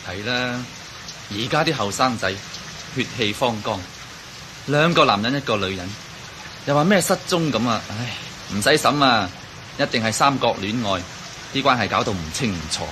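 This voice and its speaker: A man acting a gossipy, grumbling sidekick in a radio drama, with a Hong Kong Cantonese accent. His voice is medium in pitch and nags along. Rain is clearly audible behind him. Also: lively intonation, normal speed, noisy background, close to an everyday voice. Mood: knowing, scornful.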